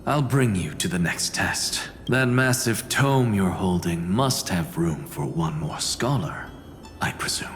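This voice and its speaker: An actor playing an aloof, sardonic male scholar who talks in a dry, unhurried way. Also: sits low, animated, long reverb tail, soft background music. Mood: wry. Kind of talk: video game voice acting. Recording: game soundtrack behind.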